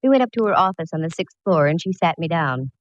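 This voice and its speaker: A woman's voice: fairly low pitch, animated, fast talker, natural voice. An actress playing a breezy, wisecracking female private eye in 1940s radio style. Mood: wry narration. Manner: wry, flip. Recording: muffled, faintly hissy old radio recording.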